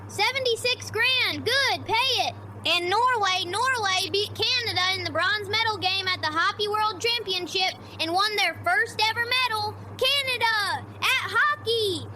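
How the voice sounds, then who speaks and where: A woman's voice: very high-pitched, background noise. A woman sports correspondent giving dramatic, opinionated commentary with hyperbolic delivery.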